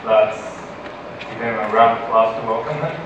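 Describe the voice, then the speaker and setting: A man acting as emcee, introducing a conference speaker in a slow announcing manner. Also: on the low side. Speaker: event emcee. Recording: echoey hall from far, dull, loud noise.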